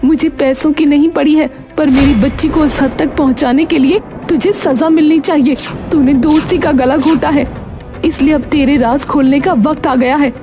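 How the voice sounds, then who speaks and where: Woman acting stern, high-voiced betrayed friend. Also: fairly even intonation, moderate pace, over music, close to an everyday voice. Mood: reproachful. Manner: firm, reproachful. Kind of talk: cartoon moral story acting.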